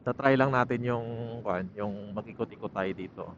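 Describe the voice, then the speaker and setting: A man's voice: on the low side, normal speed. Filipino guy doing a moto vlog, dull helmet mic, some ride noise behind him, chatty n playful. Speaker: motorcycle vlogger. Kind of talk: casual riding vlog chat to viewers. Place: outdoors on a moving motorcycle.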